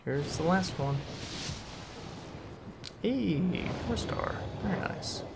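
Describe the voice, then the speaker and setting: A man's voice: on the high side, expressive, slow, gravelly, very noisy. A man giving casual live gameplay commentary as a streamer. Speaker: game streamer.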